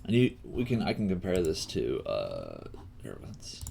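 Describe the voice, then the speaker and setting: Male tutorial streamer, unhurried and halting, with lively swings in pitch, muttering to himself between explanations while typing. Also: vocal fry. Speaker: coding teacher and stream host. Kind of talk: live coding tutorial, thinking aloud. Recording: noisy room with keyboard clicks. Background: typing and clicking.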